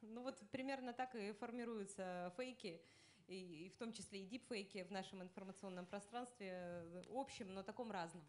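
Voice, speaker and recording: Female panelist, mid-pitched voice, measured talk with fillers. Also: slight background noise. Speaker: panel speaker. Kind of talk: conference talk.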